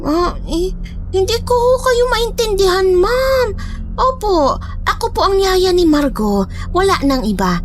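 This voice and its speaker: A woman's voice: extremely high pitch, lively intonation, measured pace. A woman playing a polite, puzzled household nanny with a Filipino accent in a horror audio drama. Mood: confused, obliging. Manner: deferential, servile politeness.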